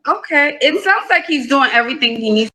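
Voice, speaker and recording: A woman hosting a live dating show, with a bright, forceful voice pitched a little high. She questions her caller pointedly and keeps pressing for details. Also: animated, fairly quick. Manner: probing, asks pointed questions.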